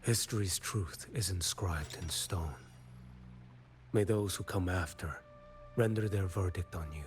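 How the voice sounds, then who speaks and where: A man playing a solemn swordsman in a video game, giving judgment in a measured, formal manner. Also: deep voice, faint music behind. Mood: solemn, grave. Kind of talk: dramatic game voice acting.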